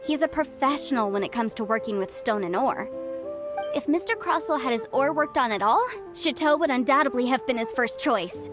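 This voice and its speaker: High, brisk young legal adviser. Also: female voice, lively intonation, music in the background. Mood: confident, musing. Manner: articulate, businesslike. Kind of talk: video game voice acting.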